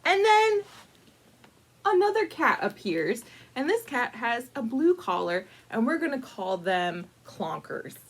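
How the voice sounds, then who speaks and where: A woman hosting a casual storytime, chatty and informal, with playful, dramatic emphasis and drawn-out words as she tells a picture-book story. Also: fairly high pitch, very animated, slightly rough. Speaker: librarian storytime host.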